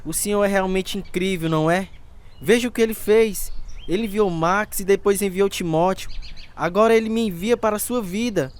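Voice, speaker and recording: A man's voice: high voice, moderate pace, smooth, lightly acted. An actor playing a devout, friendly builder with a Brazilian accent in a radio drama, a fervent man. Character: devout, friendly builder who distributes Bibles.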